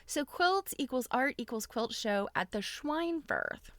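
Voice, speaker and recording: A woman's voice: high voice, lively intonation, measured pace, dry recording. A middle-aged woman hosting a casual livestream, chatty and giggly, often breaking into exclamations. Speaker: livestream host.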